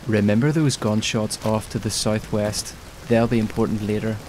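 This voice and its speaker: Man, low voice, gameplay commentary, team callouts, clear rain and game noise behind. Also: normal speed. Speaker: gaming video creator. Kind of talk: scripted gameplay narration and live team chat. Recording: steady loud background noise. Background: pouring rain and game ambience.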